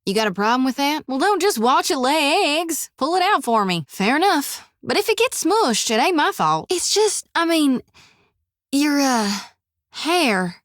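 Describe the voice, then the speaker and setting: This is a plucky, warm-hearted young priestess, speaking in a plainspoken rural drawl. Her voice sits a little higher than most women's. The sound is close and dry, with no room around it. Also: sing-song, a little slow, no echo, full character voice. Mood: wry. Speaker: companion character. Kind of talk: video game voice acting. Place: recording studio.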